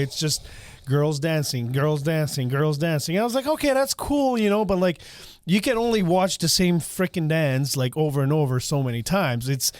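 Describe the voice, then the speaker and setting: A man from Slovakia with a Slovak accent, hosting a casual podcast chat, laughing often and talking in quick bursts. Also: fairly high pitch, a little mellow, smooth, no room sound. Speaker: podcast host.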